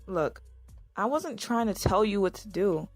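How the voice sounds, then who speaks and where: Jealous lover, mid-range voice, sharp-tongued yet caring, natural drama dialogue, soft music behind. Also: female voice, mid-range voice, animated, unhurried. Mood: concerned.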